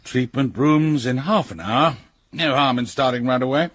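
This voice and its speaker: Actor as husky, jovial clinic doctor. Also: male voice, mid-range voice, moderate pace, fairly bright tone, no echo, clean studio sound, natural voice. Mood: breezy, reassuring. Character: smooth-mannered, jovial male health clinic doctor. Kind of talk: radio drama acting.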